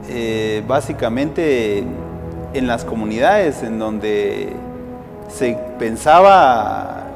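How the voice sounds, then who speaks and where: Interview guest, Guatemalan man, hesitant with many fillers, long reverb, faint music. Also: medium pitch. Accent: Guatemalan Spanish. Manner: hesitant, frequent "eh" fillers and restarts. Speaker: guest, civil society activist. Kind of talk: interview answers.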